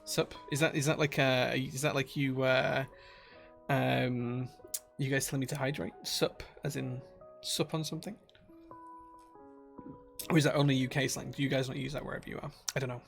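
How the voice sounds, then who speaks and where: A man's voice: medium pitch, level tone, normal speed, creaky, faint music behind. A man with a broad Yorkshire accent, chatting casually on a live stream from his workshop and reading viewer comments aloud with lots of uhs. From Yorkshire, England. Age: adult, married. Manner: friendly, chatty, many uhs and restarts. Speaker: streamer and keyboard builder.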